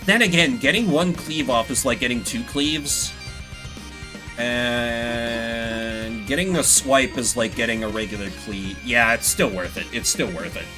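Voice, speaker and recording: A man doing casual live gameplay commentary as a streamer, thinking out loud in an analytical way. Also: medium pitch, measured pace, over music. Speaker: game streamer.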